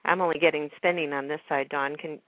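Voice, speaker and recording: A woman's voice: low voice, steady tone, fairly quick, no echo. A woman hosting a casual talk radio show, chatting informally. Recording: narrow phone-like internet radio call.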